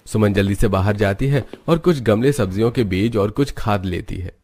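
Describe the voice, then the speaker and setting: Low-voiced man narrating a story. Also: moderate pace, a little mellow, slight background noise. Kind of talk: scripted moral-story narration for a cartoon.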